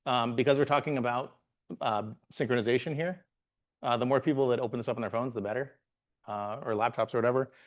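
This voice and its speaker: Relaxed man presenting with lots of fillers. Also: mid-range voice. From Bahamas. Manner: relaxed, halting, many "uh" fillers and restarts. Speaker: guest presenter, software engineer. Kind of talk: live tech meetup talk with slides.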